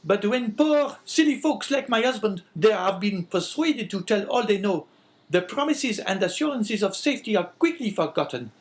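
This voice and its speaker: A man putting on a shrill, scolding sickly wife. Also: animated, normal speed. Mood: suspicious. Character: sickly suspicious shrill wife, middle-aged woman.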